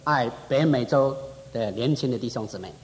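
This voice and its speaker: A man's voice: medium pitch, moderate pace, darkish tone. A man preaching a fervent sermon at a church conference. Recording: reverberant hall.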